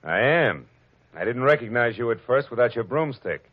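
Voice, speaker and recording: An actor playing a tough, wisecracking private detective, delivering noir lines in 1940s radio style. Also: male voice, medium pitch, lively intonation, normal speed, breathy edge, no room sound. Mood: dry defiance. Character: hard-boiled, wry male private detective. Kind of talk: 1940s radio acting, first-person noir narration. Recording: dull old radio recording with music.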